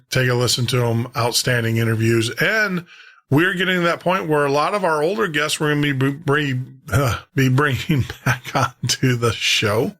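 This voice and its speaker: Male radio host, mid-pitched, casual and conversational, rambles a little while addressing listeners. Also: a bit bright. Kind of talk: casual sign-off talk to listeners.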